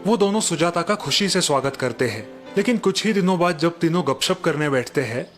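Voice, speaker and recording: A man's voice: on the high side, fairly quick, soft background music. Man narrating a bedtime story. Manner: even, explanatory narration. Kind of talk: scripted storytelling.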